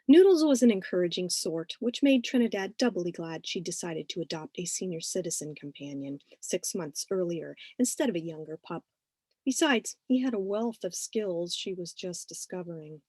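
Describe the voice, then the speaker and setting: Husky-edged woman narrating a story, dry sound. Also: lowish voice, expressive, moderate pace, warm tone, no room sound. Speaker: author reading own novel. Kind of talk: expressive scripted story narration.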